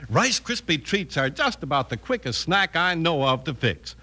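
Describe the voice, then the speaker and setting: An actor playing an easygoing American ranch hand in a vintage Western radio commercial. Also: male voice, a little high, very animated, normal speed, bright, forceful tone, heavily acted. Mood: calming, upbeat.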